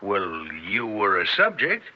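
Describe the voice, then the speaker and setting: An actor playing a brisk, skeptical police investigator in an American radio drama, blunt and businesslike with an American accent. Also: male voice, lowish voice, huge pitch swings. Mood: probing. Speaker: investigator questioning a witness. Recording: dull, band-limited old radio recording.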